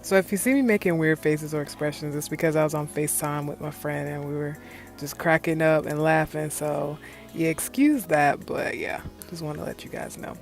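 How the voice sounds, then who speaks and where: A woman's voice: low voice, fairly even intonation, normal speed, faint music behind. A young woman chatting casually through a hair tutorial on her own channel, rambling with lots of ums and filler words. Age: young adult. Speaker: beauty vlogger.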